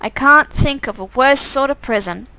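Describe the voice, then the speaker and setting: A woman's voice: sits high, normal speed, sharp tone, poor audio. A woman voicing chatty, curious young women from a visual novel in a theatrical, conversational read. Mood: keen.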